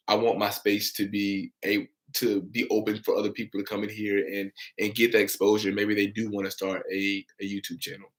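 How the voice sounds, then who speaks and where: A young man hosts a relaxed livestream from home. He reads out viewer comments and answers each one in an upbeat, encouraging way. His voice sits slightly low. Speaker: insurance broker and livestream host.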